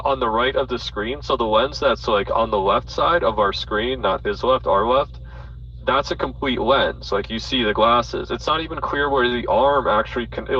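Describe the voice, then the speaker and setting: A man's voice: medium pitch, sharp tone, far from the mic. A man hosting a live audio chat, rambling and swearing as he thinks aloud, with plenty of fillers. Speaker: host. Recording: dull audio from off mic with faint noise.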